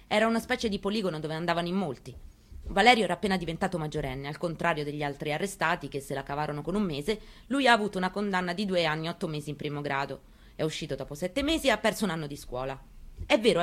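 A fairly low female voice of an actress playing an elderly mother who has lost her son. She delivers a theatrical monologue, intimate and deliberate, grieving yet resolute. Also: a bit bright. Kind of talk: dramatic monologue, theatrical reading.

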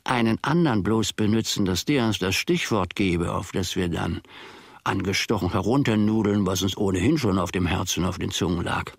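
An actor playing a grumbling, self-important manservant who muses pedantically to himself in a German radio play. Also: male voice, low voice, moderate pace, creaky, slightly rough, dry recording, studio quality, exaggerated voice. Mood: pondering, dismissive. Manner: ruminating, pedantic, self-satisfied.

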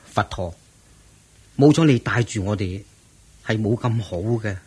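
An actor playing a devoted, grieving monk disciple in a radio drama, reverent and earnest, with husky Hong Kong Cantonese speech. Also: male voice, lowish voice, a little slow, a little mellow. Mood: sorrowful. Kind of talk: Buddhist radio drama acting.

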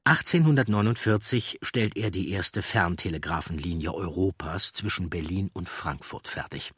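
A man narrates a scripted documentary in a low, breathy-rough voice. He tells it at a measured, storytelling gait, with no room sound at all. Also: no echo.

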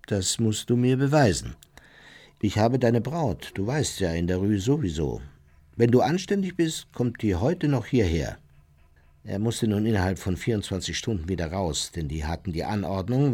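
A man's voice: lowish voice, darkish tone, breathy edge. A man acting in a radio play as a boastful former Nazi officer who recounts his wartime exploits conversationally, self-satisfied and acting out what was said. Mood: proud, cunning. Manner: self-satisfied, vivid storyteller who acts out quotes.

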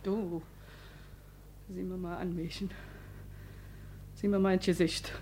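An actress playing a domineering, anxious working-class wife in a stage drama, with colloquial speech that swings between wheedling and threats. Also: female voice, lowish voice, flat delivery, slow, mellow, a little hiss or hum. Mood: menacing. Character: domineering, anxious working-class married woman, coaxing yet menacing. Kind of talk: theatrical naturalist stage drama acting.

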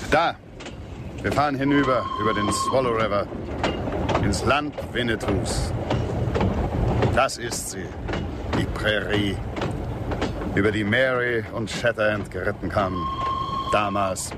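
An actor playing a calm, nostalgic Western frontiersman in a German radio drama, plain-spoken and pensive. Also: on the low side, measured pace, long pauses, heavy background noise, close to an everyday voice.

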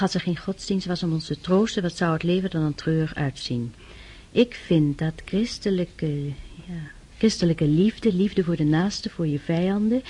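Young noblewoman, husky-voiced, gentle, pious and reflective, earnest period radio drama delivery. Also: fairly low pitch. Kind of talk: Dutch radio drama acting.

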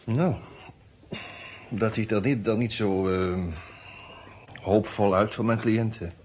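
An actor in a Dutch radio detective drama playing a composed, businesslike defence lawyer with a measured, formal manner. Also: male voice, on the low side, a little hiss or hum. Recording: muffled old radio play recording.

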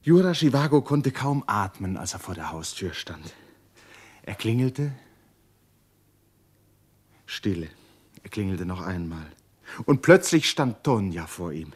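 A man's voice: deep voice, very animated, measured pace, long pauses, breathy edge, full character voice. A man narrating a German radio play as a grave literary storyteller. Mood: grave, suspenseful. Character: grave male storyteller of a dramatic novel.

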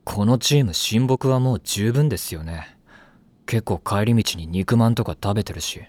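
A man's voice: fairly low pitch, normal speed, darkish tone, slight background noise, close to an everyday voice. An actor playing an aloof, sarcastic teenage boy in an anime audio drama, with a dry, snide manner. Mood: dry, unimpressed. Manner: snide, dry, polite on the surface.

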